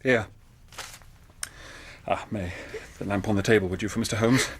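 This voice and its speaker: An actor in a radio drama playing a distraught young English gentleman, a grieving son. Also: lowish voice, steady tone, moderate pace, a little hiss or hum, lightly acted. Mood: subdued, weary.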